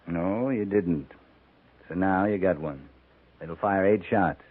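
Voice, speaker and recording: An American man playing a steady, plain-spoken cavalry captain in a 1950s radio western, firm and laconic. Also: deep voice, takes it slowly, phone-quality sound. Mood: firm, unflinching. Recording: band-limited 1950s radio recording.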